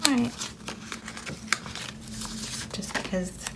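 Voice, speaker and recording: A woman whose first language is Spanish, chatting casually and rambling with many fillers as she decorates a planner. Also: drawn-out words. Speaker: planner vlogger. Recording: steady background noise with paper crinkling. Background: sticker and paper crinkling.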